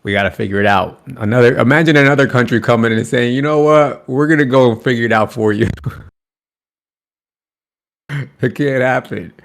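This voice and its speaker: A man chatting on a podcast, arguing his point with lively acted-out quotes. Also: mid-range voice, fairly quick, creaky, long pauses. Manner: animated, argues with acted quotes. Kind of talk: casual podcast chat.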